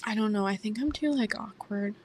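A young woman with a soft voice, hosting a casual podcast chat in a shy, hesitant way that often trails off. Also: mid-range voice, a little fast, a little hiss or hum. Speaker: podcast host.

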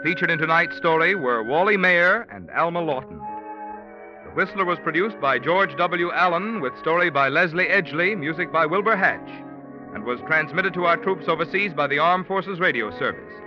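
Lively American male vintage radio announcer. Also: a little high. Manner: polished, formal. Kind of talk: 1940s radio announcing, scripted. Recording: dull vintage radio recording, faint music.

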